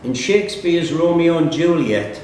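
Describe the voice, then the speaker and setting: A man's voice: animated, moderate pace, a little mellow. Echoey, distant man reading quiz questions. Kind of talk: reading quiz questions aloud to live contestants. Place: pub room at a live quiz, echoey. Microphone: distant room microphone. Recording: echoey room, distant mic, faint rumbling noise.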